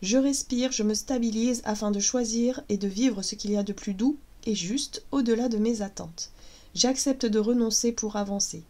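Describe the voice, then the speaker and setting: A woman's voice: on the high side, normal speed, mellow. A woman in her thirties with a cold, chatting casually and hesitantly through a tarot reading. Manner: chatty, hesitant with many fillers. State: has a cold, voice cracking. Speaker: tarot reader.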